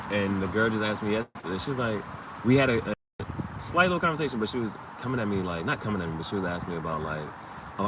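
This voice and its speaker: A man hosting a casual tarot livestream, talking in a rambling, digressive way and repeating his phrases. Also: fairly low pitch. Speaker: tarot reader and host. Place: outdoors under a tree. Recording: distant mic outdoors, loud ambient noise.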